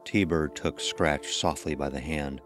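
A man with an extremely low voice narrates a dark horror tale. His delivery is measured and ominous, with faint music underneath. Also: fairly even intonation.

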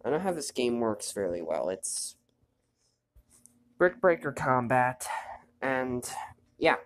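A man with a stuffy nose hosting a gameplay video, chatting casually and reading the on-screen story text aloud in a quiet, low voice. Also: big pitch swings, slow, slight background noise. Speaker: let's play host.

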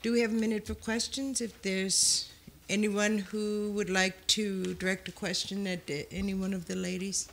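A woman's voice: mid-range voice, level tone, normal speed, gravelly, hardly any pauses, a bit bright, a little hiss or hum. A woman moderating a conference panel, thanking the speakers and inviting questions from the floor.

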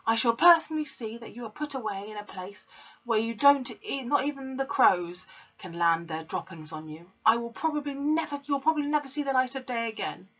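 A woman reading a storybook aloud, putting on a furious, pompous bullying headmistress who rants and shouts. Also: fairly high pitch, fairly quick, dull audio, pitched above the performer's own voice. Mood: raging.

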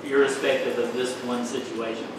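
A man's voice: mid-range voice, level tone, moderate pace, noisy background. Distant man in a very echoey room. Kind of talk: remarks at a public meeting. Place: large meeting room, distant camera.

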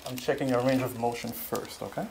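A man's voice: mid-range voice, brisk. Male chiropractor, noisy room. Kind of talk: calm hands-on instruction to a patient. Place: small chiropractic treatment room. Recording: clearly noisy room sound.

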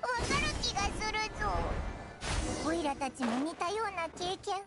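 A tiny fairy sidekick in an anime game with an extremely high, childlike voice. The little creature is chatty and cutely blunt, with loud music playing behind. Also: female voice, lively intonation, normal speed. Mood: sympathetic. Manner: cute, boyishly blunt childlike speech.

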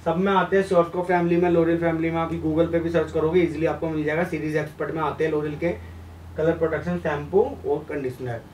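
A man's voice: fairly high pitch, steady tone, a little fast, a little mellow. Young male tutorial host, instructive and direct; echoey room with some background noise. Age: young adult. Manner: instructive, frequently addressing viewers directly, mixing in English terms. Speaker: hair colour instructor and video host. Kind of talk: informal tutorial explanation at a whiteboard. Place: small indoor room beside a whiteboard. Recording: roomy echo, distant mic, background noise.

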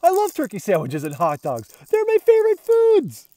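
An eager, naive pet dog from a children's storybook, acted out by a man, exuberant and dramatic. The voice rides high and leaps wildly up and down, stretching words out, in a dry, close recording. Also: huge pitch swings, moderate pace, dark tone, dry recording, heavily acted. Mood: overjoyed. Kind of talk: children's storybook read-aloud.

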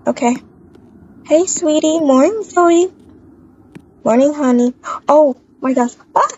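Young mom and wife woman roleplayer, affectionate, relaxed manner, fairly high voice, dull recording. Game roleplay. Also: takes it slowly. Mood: sleepy, fond. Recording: dull lo-fi microphone.

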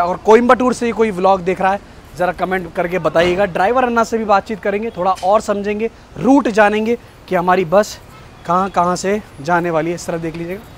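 A young male travel vlogger with a fairly high voice, talking fast and easily to a camera he holds at arm's length. He keeps pointing things out and drops English phrases into his talk. Faint street and engine noise sits under the voice. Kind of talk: casual travel vlog to camera. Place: bus depot outdoors, then inside a sleeper bus. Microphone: phone or action camera at arm's length.

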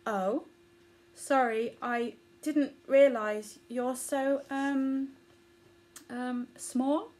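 A woman voicing a gentle, earnest young male elephant in a children's storybook. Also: sits high, steady tone, extremely slow.

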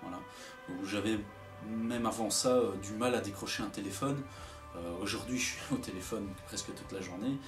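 A man's voice: lowish voice, normal speed, roomy sound, distant mic, music in the background. A young man, a former trainee, candidly telling how the programme helped him, in a documentary interview.

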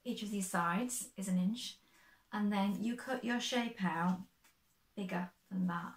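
A woman in her fifties, slightly croaky, chatting step by step through her sewing projects in a bright sewing room. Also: mid-range voice, measured pace, reverberant. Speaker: sewing vlogger. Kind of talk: casual show-and-tell.

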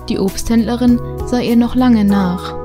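A woman giving calm, scripted narration as the storyteller of a German audio drama. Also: on the high side, a little slow, music in the background, natural voice.

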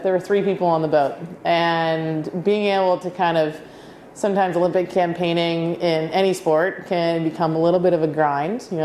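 A woman in her forties, a sailing coach, answering interview questions in a relaxed, reflective way in an indoor office. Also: sits low, roomy sound, slight background noise.

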